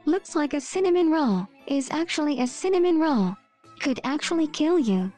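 A woman narrates short scripted captions in a voice-over. Her voice sits high, with a soft, mellow tone that has little edge to it. Faint music plays behind her. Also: expressive, normal speed. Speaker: meme video narrator. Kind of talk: scripted voice-over reading memes aloud.

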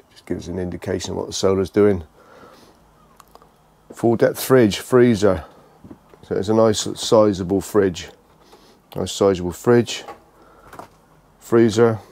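A British man giving a casual, unscripted walkthrough tour, speaking haltingly and repeating phrases as he goes. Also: lowish voice, fairly even intonation, darkish tone. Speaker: vlogger giving a motorhome tour.

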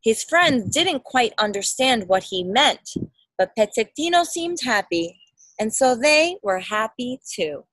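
Woman doing story time for a kid, slow and really animated, dry outdoor sound. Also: a little high, dry recording, rough recording. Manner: expressive, explains and asks simple questions for a child. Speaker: parent reading a picture book aloud. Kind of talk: children's storybook read-aloud. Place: outdoors in a backyard. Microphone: phone or laptop at arm's length.

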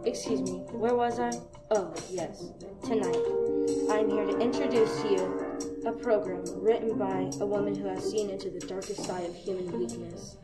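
Sinister theatrical male host over loud music, played by a girl; menacing drawl. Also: mid-range voice, close to an everyday voice. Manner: menacing, theatrical, snaps at crew. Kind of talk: student radio play acting. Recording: music bed behind voice.

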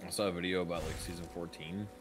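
A man's voice: deep voice, fairly even intonation, fast. A man chatting casually with a friend during a co-op game, informal and sweary. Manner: profane, informal. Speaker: gaming partner. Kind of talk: casual gameplay chat. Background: game music and sound effects.

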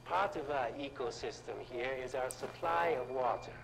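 A formal, measured elder figure in a video game. His voice is gravelly and his delivery slow and solemn, with an accent that is hard to place. Steady game-audio hiss runs beneath him. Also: mid-range voice, rough recording. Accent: unplaceable. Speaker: game character guide in full-motion video.

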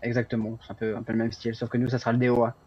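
A man's voice: mid-range voice, completely flat delivery, rapid-fire, a little mellow. A man chatting casually in an easygoing way while playing a police commander in an online roleplay. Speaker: player acting as police commander. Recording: dull gamer voice chat with background noise.

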